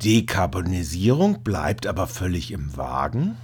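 Slow, low-voiced man narrating a report. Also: huge pitch swings, a little mellow, a little hiss or hum. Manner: halting, with hesitations. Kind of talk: scripted report narration with commentary.

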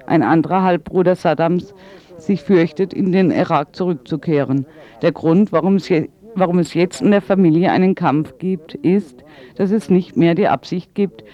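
A man with a fairly high voice interprets an interview as a voice-over. The recording is a little dull, as in a lo-fi broadcast feed.

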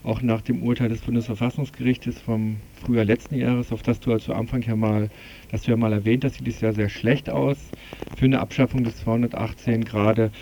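A man's voice: medium pitch, little pitch movement, a little fast, dark tone, a little hiss or hum. A man hosting a radio programme, speaking in long sentences as he interviews and moderates. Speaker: radio host. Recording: slightly dull lo-fi radio recording.

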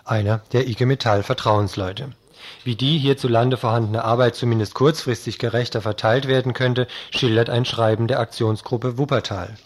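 A man's voice: medium pitch, steady tone, normal speed, a little hiss or hum. A man presenting a scripted radio news report.

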